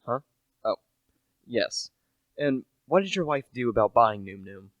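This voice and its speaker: A man's voice: medium pitch, sing-song, unhurried, no room sound, highs cut off. A young man acting a humble, chatty small-time salesman who narrates a radio play in a plain, confiding manner. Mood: polite, ingratiating. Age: young adult. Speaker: narrator and lead character.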